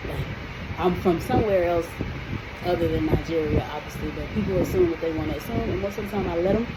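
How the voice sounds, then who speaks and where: A woman's voice: fairly low pitch, fast. An American woman in a casual to-camera vlog, talking in a chatty, emphatic way and checking in with the viewer often. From United States. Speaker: vlogger. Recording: noisy room with air conditioning hum.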